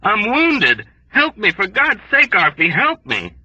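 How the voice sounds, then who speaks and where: A wounded young airman screams and begs in a high, strained voice, close to tears, as a man puts him on. His voice has a husky, sharp edge, in a dry storybook reading. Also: wildly swooping pitch, takes it slowly, dry recording, dull audio, heavily acted. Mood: desperate. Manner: screaming, desperate, near weeping. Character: wounded young male airman, panicked and pleading.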